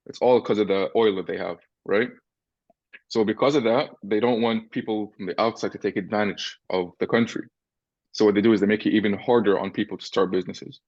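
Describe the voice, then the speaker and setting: A man's voice: lowish voice, fairly even intonation, a little fast, a little mellow, dry recording, dull audio. A young man from Syria, a podcast guest and entrepreneur, explaining things step by step in a casual interview and often checking in with "right?".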